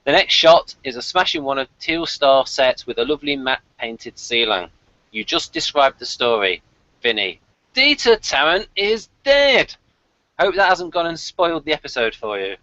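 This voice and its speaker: A man's voice: fairly high pitch, sing-song, normal speed, sharp tone, no room sound, lo-fi sound. A man hosting a casual fan review, chatty and rambling as he thinks aloud. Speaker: host of a TV review discussion.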